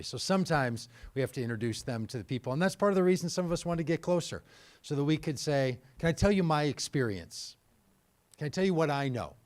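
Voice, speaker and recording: Man, event host, chatty and energetic, live talk, audience quiz. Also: medium pitch. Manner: energetic, conversational, quizzing the audience. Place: indoor event venue.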